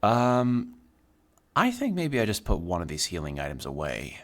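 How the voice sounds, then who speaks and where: A man's voice: fairly low pitch, wildly swooping pitch, normal speed. A man from Texas with a Midwestern American accent, chatting casually and swearing as he streams a game. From Texas, USA. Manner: rambling, sweary, talks while playing. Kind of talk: casual let's-play chat.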